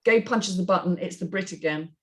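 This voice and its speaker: A woman's voice: lowish voice, brisk. A woman reading stage directions aloud in a scripted narration style.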